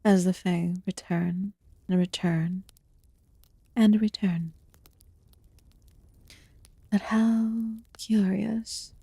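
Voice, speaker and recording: Woman acting as a soft, slow, ancient fae recluse. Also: mid-range voice, flat delivery, dry recording, full character voice. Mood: curious, detached. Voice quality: soft, breathy murmur with whispered phrases. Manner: slow, deliberate, archaic formal wording. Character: ancient aloof female fae recluse, haughty yet quietly caring. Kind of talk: ASMR fantasy roleplay.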